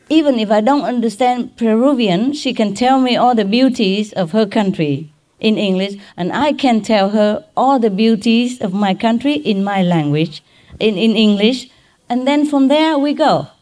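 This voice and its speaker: High-voiced woman with a Vietnamese accent. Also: lively intonation, darkish tone. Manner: simple halting English with check-in questions. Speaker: spiritual teacher giving a lecture. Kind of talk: informal spoken lecture to a gathering. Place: large hall or tent with seated audience. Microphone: microphone on a boom stand.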